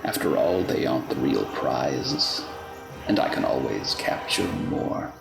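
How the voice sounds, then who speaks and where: Guy doing a menacing fae king for a radio play, slow n gravelly, proud, music behind. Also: extremely low pitch, big pitch swings, full character voice. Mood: taunting. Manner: slow, deliberate, taunting. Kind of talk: fantasy radio play acting. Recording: roomy echo under music.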